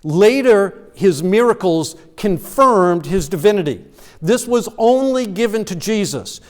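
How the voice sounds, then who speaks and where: A man with a notably high voice gives a sermon in a church. He explains scripture in a loose, conversational way, stumbling and restarting now and then. His words ring out through a long hall reverb. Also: lively intonation, a little slow. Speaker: pastor. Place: church sanctuary.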